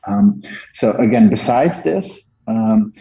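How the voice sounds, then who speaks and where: A man in his forties with a Dutch accent, a marketing guest talking business in a measured, hesitant way with many fillers and restarts. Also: medium pitch, expressive, gravelly, warm tone, slightly rough. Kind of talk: business interview, explaining. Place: office room, indoors. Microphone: earbuds on a video call. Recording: dull lo-fi video call audio.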